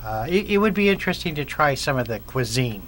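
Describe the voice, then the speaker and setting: A man hosting a talk show, asking questions slowly with many pauses and fillers. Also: mid-range voice, sing-song, fairly bright tone, slight background noise. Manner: hesitant, many fillers and restarts. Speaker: co-host. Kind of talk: casual radio chat.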